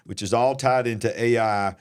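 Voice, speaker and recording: A man's voice: mid-range voice, fairly even intonation, moderate pace, clear, resonant tone. A man with a Southern US accent hosting a live online show, talking in a casual, joking, folksy way. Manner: jokey, folksy, mocks fake accents. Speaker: host. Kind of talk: casual live-stream commentary.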